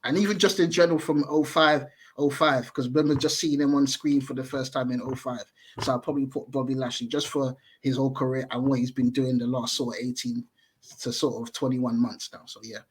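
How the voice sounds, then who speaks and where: A man's voice: mid-range voice, fairly even intonation, a little fast, slightly rough, poor audio. A man in his thirties, a podcast guest chatting over a video call, thinking aloud and correcting himself as he goes. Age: 30s. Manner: thoughtful, hedging, self-correcting.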